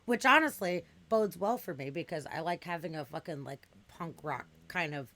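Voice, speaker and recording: A woman in her thirties with a raspy, smoker's edge to her voice, which still comes across bright and forceful. She talks in a low register, chatting bluntly and swearing freely as she tells animated stories. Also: moderate pace. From US West Coast. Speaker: podcast guest. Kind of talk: casual chat.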